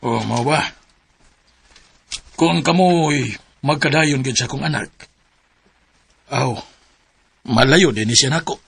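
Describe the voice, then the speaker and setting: A man playing a gravelly, gentle father. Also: medium pitch, takes it slowly, long pauses, dry recording, heavily acted. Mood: teasing. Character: middle-aged father, gentle and concerned. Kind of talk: radio drama acting.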